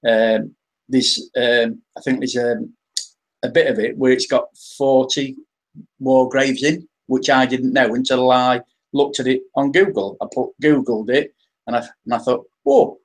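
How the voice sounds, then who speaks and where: A man's voice: medium pitch, unhurried, rough recording. An English man talking casually as the guest on a live-stream interview, often restarting and repeating his words. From England.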